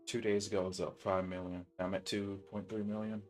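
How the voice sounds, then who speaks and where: A male game streamer with a lowish voice talks in a laid-back, slangy way as he plays. Game music runs clearly behind his casual chat. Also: flat delivery, fairly quick. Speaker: game livestreamer. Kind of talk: casual chat while gaming. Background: video game music.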